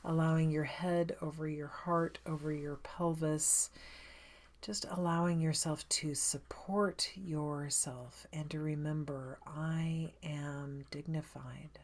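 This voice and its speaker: A woman with a low voice guides a meditation. She speaks very slowly and without hurry, giving gentle instructions in a soothing, inviting way. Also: fairly even intonation.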